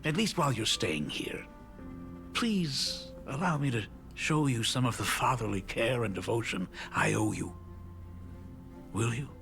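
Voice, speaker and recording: A man's voice: mid-range voice, animated, unhurried, creaky, fairly bright tone. A man voicing an awkward, eager, apologetic middle-aged father in a video game, hesitant and effusive. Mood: pleading. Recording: music behind voice.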